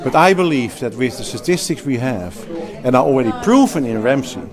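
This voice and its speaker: A man's voice: mid-range voice, expressive, fairly quick, crowd noise. A man from the Netherlands with a Dutch accent, a healthcare executive and clinician, talking emphatically in an interview, restarting phrases and laughing easily. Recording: interview at a crowded event.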